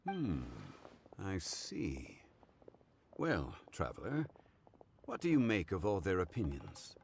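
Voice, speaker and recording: An actor playing a wise, courteous gentleman in a game, speaking formally and with measured calm. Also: sits low, vocal fry, slight background noise, heavily acted. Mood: thoughtful. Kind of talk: dramatic game voice acting. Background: music.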